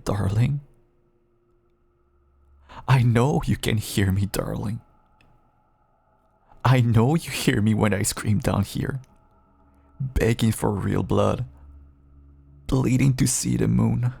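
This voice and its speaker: A man playing a caged feral vampire who pleads clingily and bitterly with an estranged partner in an intimate dramatic audio roleplay, his voice husky and gravelly. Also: fairly low pitch, slow pace, long pauses, heavily acted. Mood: yearning, bitter. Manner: clingy, pleading, swinging from hushed endearments to bitter outbursts.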